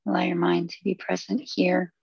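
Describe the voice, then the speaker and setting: A woman leading a guided relaxation meditation, giving soothing, unhurried instructions in short phrases with long pauses. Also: lowish voice, sing-song, gravelly, darkish tone, breathy edge, dry recording, lo-fi sound.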